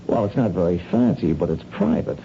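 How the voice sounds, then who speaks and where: An actor playing a casual, smooth henchman in a radio drama, falsely reassuring and slangy, with quiet menace. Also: low-pitched, huge pitch swings, fairly quick, heavily acted. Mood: breezily soothing. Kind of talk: 1970s radio drama acting. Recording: dull, noisy old radio drama recording.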